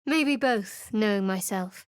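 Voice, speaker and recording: A woman's voice: medium pitch, slow, smooth, no room sound, natural voice. A woman voicing a guarded, sardonic young cleric adventurer in a video game, speaking dryly and warily with a cutting edge. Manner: dry, wary, cutting.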